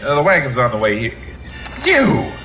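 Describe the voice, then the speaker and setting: A gruff man plays a sarcastic police captain in a radio drama. His pitch moves a lot as he barks orders. Music plays clearly underneath. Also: wildly swooping pitch, normal speed, bright, forceful tone. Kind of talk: old-time radio drama acting.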